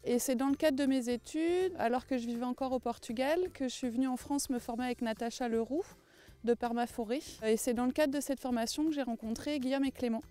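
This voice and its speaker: A woman's voice: high voice, a little fast, mellow. A woman giving an interview as a designer, explaining things in an articulate, spontaneous way. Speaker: permaculture designer. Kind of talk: documentary interview, spontaneous speech.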